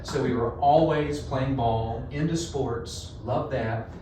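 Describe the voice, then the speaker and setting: A middle-aged man with a North Carolina Southern accent talks in a folksy, animated way, spinning stories with a drawl. He sits some distance from the mic, so his voice rings around a very echoey room. A clear layer of background noise runs under him. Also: mid-range voice, slow. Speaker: marketing agency co-founder, guest. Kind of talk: conversational podcast interview. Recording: roomy echo, distant mic, noisy.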